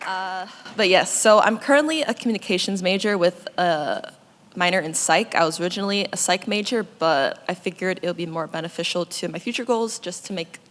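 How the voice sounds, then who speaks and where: Young woman, college student, cheerful, casual intro, hall reverb. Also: on the low side. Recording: hall reverb with faint noise.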